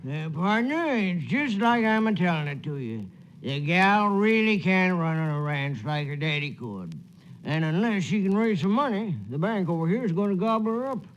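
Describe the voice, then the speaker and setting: A muffled, faintly noisy 1940s radio recording of a folksy old cowhand. The drawl is skeptical and colloquial, with very animated pitch that rides slightly high. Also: male voice, normal speed. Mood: grave, folksy. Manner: drawling, colloquial western talk. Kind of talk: 1940s radio western acting.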